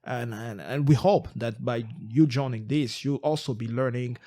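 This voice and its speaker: A man's voice: medium pitch, normal speed, warm tone. Earnest man with a non-native English. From Congo. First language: not English. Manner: earnest, reflective storytelling with many restarts. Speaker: podcast co-host. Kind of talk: casual podcast chat.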